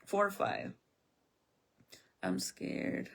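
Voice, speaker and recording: A woman streamer in casual chat with her viewers, blunt and chatty, swearing freely, whose first language is Spanish. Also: drawn-out words. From American Southwest. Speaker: live streamer.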